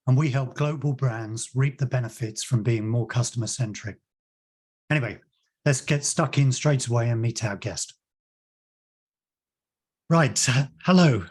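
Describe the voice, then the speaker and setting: Husky-voiced male interview host. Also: mid-range voice. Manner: friendly, chatty, with fillers and small laughs. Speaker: interview host, company founder. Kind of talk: interview hosting.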